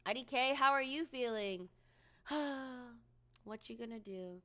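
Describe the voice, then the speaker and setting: A woman's voice: a little high, takes it slowly, sharp tone. A young woman streaming, chatting casually with viewers in a rambling way with lots of ums, her voice recovering from a cold.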